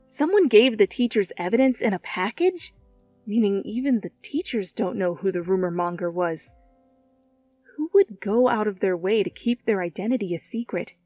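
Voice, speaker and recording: A woman's voice: medium pitch, a little mellow, dry recording. Woman narrating a story over music. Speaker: narrator, fan fiction reader. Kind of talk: expressive second-person story narration. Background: background music.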